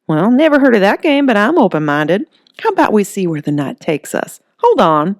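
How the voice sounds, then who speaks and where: A woman's voice: mid-range voice, very animated, normal speed, no echo, studio quality, pitched above the performer's own voice, lightly acted. A woman voicing Holly, a brassy, flirty, tough woman who loves pink, with a sassy drawl. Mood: bubbly. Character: brassy flirty middle-aged woman, pink-loving trailer owner, tough.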